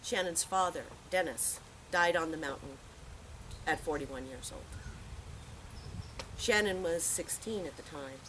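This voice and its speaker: A woman's voice: low-pitched, measured pace, long pauses, a bit bright. Woman giving a eulogy, noisy outdoor recording. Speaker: eulogy speaker at a memorial. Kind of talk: heartfelt eulogy to a gathering. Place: outdoor park memorial gathering. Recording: noisy outdoor park ambience.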